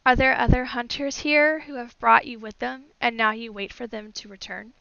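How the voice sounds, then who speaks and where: A woman's voice: a little high, fairly even intonation, normal speed, gravelly, pushed and bright, no room sound, lo-fi sound. A woman voicing a gallant, smitten young prince in a fairy tale, courteous and earnest. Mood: gentle, earnest.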